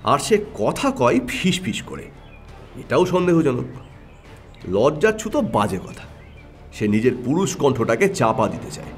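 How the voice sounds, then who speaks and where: A man voicing a shrewd, decisive detective in an audio story, with a stern, assertive manner. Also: a little high. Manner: assertive, explanatory.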